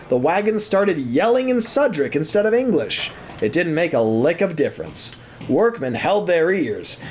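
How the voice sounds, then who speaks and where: A man's voice: a little high, darkish tone, a little hiss or hum. A man narrating an audio drama in a scripted, dramatic storybook style.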